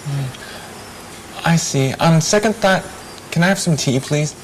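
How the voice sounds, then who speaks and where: A woman's voice: low-pitched, takes it slowly, slight background noise, rough recording. A woman reading a customer's part in a practice dialogue, hesitant, humming before she answers. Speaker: dialogue reader playing a customer.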